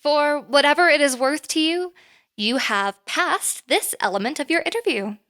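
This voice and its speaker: A woman playing a poised, reserved boss in an audio drama, speaking formally and in a measured way. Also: high-pitched, lively intonation, fairly bright tone. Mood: businesslike.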